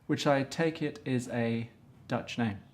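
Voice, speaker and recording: A man in a relaxed interview, talking in a chatty way and often cutting in with his own remarks. His voice sits at an ordinary middle pitch for a man, and his easy laugh comes through often. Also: takes it slowly. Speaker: interviewer and show host. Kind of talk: casual interview chat.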